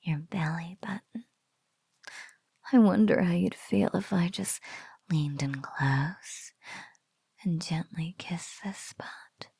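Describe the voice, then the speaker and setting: Slow, whispery, teasing spider-woman played by a woman. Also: on the low side, no echo, heavily acted. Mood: playful, gloating. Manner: slow, soft, breathy, lingering teasing delivery. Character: teasing, doting female spider-woman captor, playfully cruel. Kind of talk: whispered ASMR roleplay audio drama.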